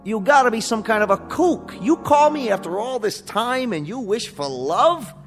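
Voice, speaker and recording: A brash, wisecracking genie for radio drama. He is streetwise and grumpy, with a highish voice that swoops animatedly. Long reverb trails his words. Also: animated, fairly bright tone. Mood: exasperated, dismissive. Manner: streetwise, fast-quipping, dismissive. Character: brash, grumpy, wisecracking male genie with outdated hipster slang. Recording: long reverb, faint music behind.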